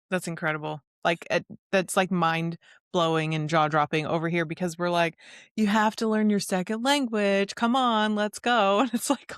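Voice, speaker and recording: A woman's voice: medium pitch, no echo, clean studio sound. An American woman hosting an interview, enthusiastic and gushing, laughing often between questions. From United States. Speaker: podcast host. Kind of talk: interview chat.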